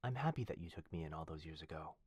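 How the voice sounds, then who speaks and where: A man playing a devoted head butler who speaks formally and fondly, with gentle teasing, in an ASMR roleplay. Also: extremely low pitch, expressive, fast, slightly rough, no echo, exaggerated voice. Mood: warm, devoted. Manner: formal, deferential, fond, softly teasing. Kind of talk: ASMR boyfriend roleplay, scripted acting.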